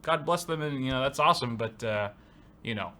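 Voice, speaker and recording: A man's voice: medium pitch, a little fast, bright, forceful tone. A man co-hosting a live show in casual banter, joking and swearing freely as he chats and reads out viewer messages. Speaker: co-host of a live tech show. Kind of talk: casual banter, reading viewer donations aloud.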